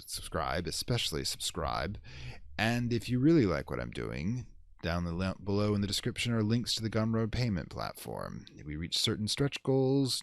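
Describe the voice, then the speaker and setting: A very low-voiced man with a heavy gravelly creak delivers his words like a gothic horror host. His phrasing is theatrical and ornate, and his pitch moves dramatically up and down. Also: no echo, clean studio sound. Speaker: horror story reader and channel host. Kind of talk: dramatic gothic audiobook reading, then informal host sign-off.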